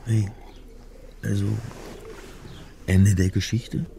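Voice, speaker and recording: An actor playing a rattled but dryly wry amateur sleuth in a naturalistic German radio crime drama. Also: male voice, fairly low pitch, level tone, takes it slowly, vocal fry, mellow, slight background noise. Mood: puzzled, questioning.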